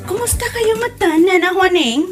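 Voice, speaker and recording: A woman plays a village neighbour in an Ilocano comedy drama, eager to share and explain. Her voice is high and her manner reassuring, with an Ilocano accent. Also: lively intonation, normal speed, music in the background.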